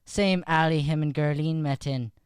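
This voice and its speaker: A man's voice: a little high, moderate pace, clear, resonant tone, no echo, clean studio sound. A man performing as an improv comedian, bantering and recapping a show informally into a podcast microphone.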